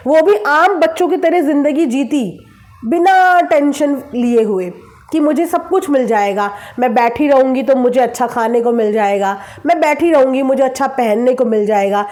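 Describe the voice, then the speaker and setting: A woman's voice: sits high, expressive, moderate pace, darkish tone. A woman giving opinionated commentary to her viewers, sarcastic in manner, with rhetorical questions and emphatic repetition. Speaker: vlogger commenting on online creator gossip.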